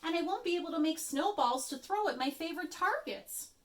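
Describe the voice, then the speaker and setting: A woman's voice: very high voice, expressive, a little fast, voice pushed up. A woman reading a picture book aloud, voicing a grumpy, cranky male rabbit who grumbles and complains. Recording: voice a bit far from the mic.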